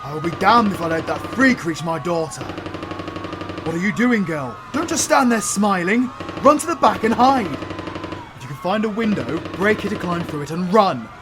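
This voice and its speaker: A man's voice: high voice, expressive, music in the background. A British man playing a panicked military father in an audio roleplay, talking urgently and exclaiming. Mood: alarmed, disgusted. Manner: urgent, rapid, exclaiming, swearing. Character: panicked, gruff military father defying a werewolf.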